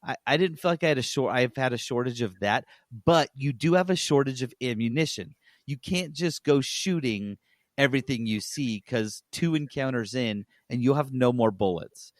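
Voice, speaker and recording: A man's voice: mid-range voice, animated, moderate pace, dry recording, clean studio sound. A man co-hosting a podcast, giving a casual, rambling review and often correcting himself as he goes. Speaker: podcast co-host reviewing games.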